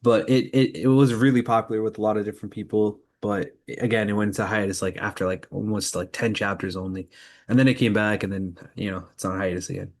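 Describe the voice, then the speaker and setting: A man's voice: on the low side, steady tone, fast. A man co-hosting a podcast, leading a casual news roundup in informal speech. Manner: informal, leads the segments. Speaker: podcast co-host. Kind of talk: casual news roundup chat about anime.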